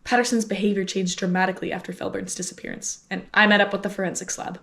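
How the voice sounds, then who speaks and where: A woman acts out a cocky young amateur sleuth in an audio drama. She speaks at a natural middle pitch, brash and emphatic, eager to lay out her case. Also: flat delivery, quick pace, vocal fry, fairly bright tone. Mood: eager, explaining. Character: cocky, eager young female aspiring private investigator.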